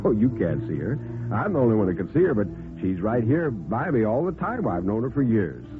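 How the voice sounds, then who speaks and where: A man's voice: fairly low pitch, very animated, a little fast. An American man playing a tipsy, smug society bachelor who talks in a mock-casual, hesitant drawl in a 1950s radio play. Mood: playful boasting. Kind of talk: 1950s radio drama acting. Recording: vintage radio recording, phone-like band, music behind.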